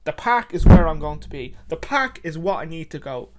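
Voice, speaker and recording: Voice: medium pitch, big pitch swings, normal speed, very noisy, close to an everyday voice. A storyteller playing a frustrated, indignant man who vents emphatically and repeats himself in a short story. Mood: angry, resolved.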